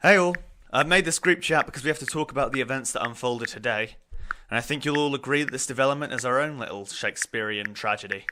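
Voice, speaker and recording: Young man, smug, gossipy, actor playing theatrical student, audio drama, clearly noisy recording. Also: medium pitch, quick pace, natural voice. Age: young adult. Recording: noisy background with clicks.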